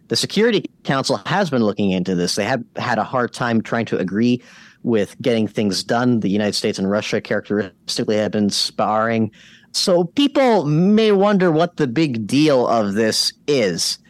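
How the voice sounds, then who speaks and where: A man presents opinionated news commentary in an average-pitched voice. His delivery is emphatic and argumentative, moving between prepared points and his own remarks. The recording is close and dry. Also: very animated, moderate pace, dry recording.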